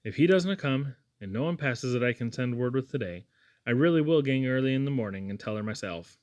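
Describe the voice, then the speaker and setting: A man's voice: medium pitch, expressive, a little fast, darkish tone, lightly acted. A man voicing a kindly, motherly Scottish housewife with homely, encouraging dialect talk. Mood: helpful.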